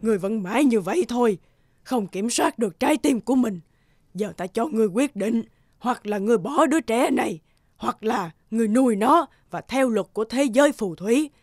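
A woman's voice: a little high, expressive, fairly quick, a little mellow, no room sound, studio quality. A woman playing a stern old witch leader in a cartoon, cold and commanding, lecturing imperiously. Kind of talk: cartoon dubbing.

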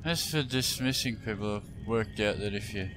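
A man giving casual gameplay commentary, halting as he thinks aloud. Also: fairly low pitch, rough recording. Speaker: gameplay video host. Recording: loud music behind the voice. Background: game music.

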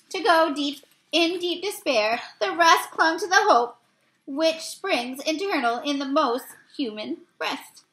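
A woman in her thirties reading a poem aloud from a picture book, a little haltingly, stumbling and correcting herself. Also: sits high, pushed and bright, low-quality recording. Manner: halting, with misreadings and self-corrections. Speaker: read-aloud reader. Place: small indoor room.

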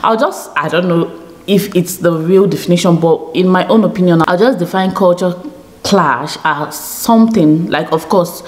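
A woman's voice: lowish voice, normal speed, a little mellow. A Nigerian woman in her thirties chatting to camera in a small home studio, often checking in with "okay?". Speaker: YouTube vlogger. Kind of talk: casual chat to camera.

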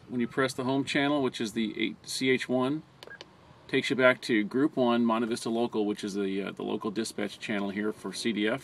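A man's voice: medium pitch, fairly bright tone. An American man narrating a hands-on training video as an instructor, explaining plainly step by step. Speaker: fire department communications trainer. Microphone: handheld camera or phone close by. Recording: noticeable background noise, amateur camera audio.

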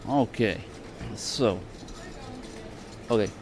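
A man's voice: on the low side, extremely slow. A man giving a casual shopping tour as a vlogger, offhand and hesitant, trailing off in fragments, recorded on a handheld camera in a large supermarket. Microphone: handheld camera from behind it. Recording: clear store ambience noise.

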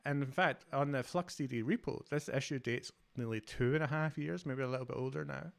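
A man's voice: mid-range voice, fairly quick, gravelly. A Scottish man from Glasgow in his thirties, giving an enthusiastic, conversational conference talk over a video call. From Scotland. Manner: enthusiastic, conversational, many fillers. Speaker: conference speaker, developer advocate.

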